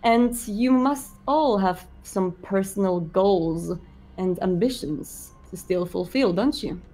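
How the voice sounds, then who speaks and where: A woman playing a polite, welcoming male vampire prince in a tabletop roleplay, speaking in a formal, measured way. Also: medium pitch, warm tone. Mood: gracious, formal.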